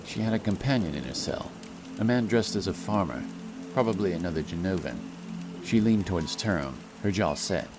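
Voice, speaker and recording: A man with a very low voice narrates a fantasy tale. His delivery is solemn and measured, with music clearly playing underneath. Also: animated. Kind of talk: scripted fantasy audiobook narration. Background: music and rain sound effects.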